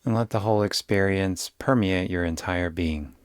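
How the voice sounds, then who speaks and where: A man guiding a yoga nidra meditation in a soothing, unhurried way, giving gentle instructions with long pauses. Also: sits low, clean tone, no echo, clean studio sound. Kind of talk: guided meditation.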